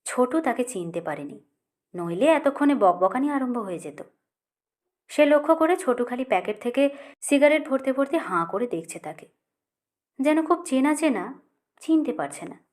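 A woman's voice: sits high, expressive, moderate pace, pauses often, a little mellow. A woman reading a literary short story as an audiobook narrator.

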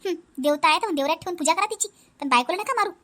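Woman as high, fast-talking protective elder sister-in-law. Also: animated, a bit bright. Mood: defensive, firm. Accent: Vidarbha Marathi. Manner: emphatic, repetitive, advising. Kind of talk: animated family comedy acting.

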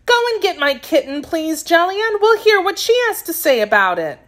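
A woman voicing an anxious, earnest young girl in a children's storybook, excitable and insistent. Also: extremely high pitch, normal speed, pitched above the performer's own voice, exaggerated voice. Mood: distressed.

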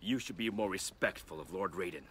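An actor playing a stern young warrior monk in a fighting game, speaking in a serious, reverent, formal manner. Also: male voice, deep voice, fairly quick, a bit bright, breathy edge. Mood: earnest reproach.

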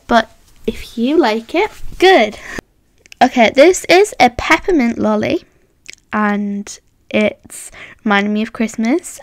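A woman's voice: on the high side, fairly bright tone, no room sound, clean studio sound. A woman hosting a voiced roleplay vlog, bubbly and chatty, reacting with gasps and hums. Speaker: roleplay channel host.

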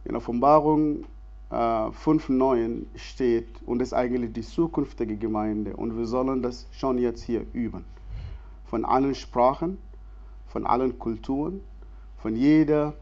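A man from Sudan, in his forties, talks in German, pausing often and laughing easily. His voice sits in a middle register. The sound is slightly dull, recorded in a church hall. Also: mid-range voice, darkish tone. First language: Arabic. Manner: earnest, many hesitations, non-native grammar, laughs easily. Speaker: guest speaker, theologian. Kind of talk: church stage interview.

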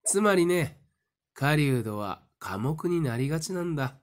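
A man playing a smug, calculating young fortune-teller in an audio drama, explaining things deliberately. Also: a little high, expressive. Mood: coolly explaining.

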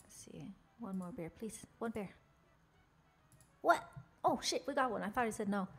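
A woman's voice: medium pitch, expressive, moderate pace, fairly bright tone, breathy edge. A young woman from Norway with a Norwegian accent, chatting playfully with viewers while narrating her game character. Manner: chatty, jokey, chatting with chat while playing. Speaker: game streamer.

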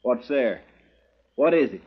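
An American actor playing a deferential, folksy frontier sidekick in an old radio western, with a husky voice. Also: male voice, mid-range voice, animated, a little slow. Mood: uneasy, respectful. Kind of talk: 1950s radio western acting. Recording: thin, phone-like old radio recording.

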